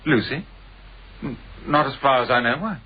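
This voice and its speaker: An actor playing an eager, aggrieved but outwardly polite employee in a radio drama, with a British accent. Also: male voice, mid-range voice, normal speed, husky, natural voice. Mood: controlled, polite. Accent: British English. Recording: dull, band-limited, faintly noisy radio sound.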